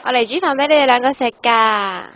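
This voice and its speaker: A woman's voice: fairly high pitch, fast talker, fairly bright tone, no room sound. A woman playing a cheerful, caring teenage girl in a radio drama, speaking breezy Hong Kong Cantonese. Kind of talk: Hobby radio drama acting. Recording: prominent music under the dialogue.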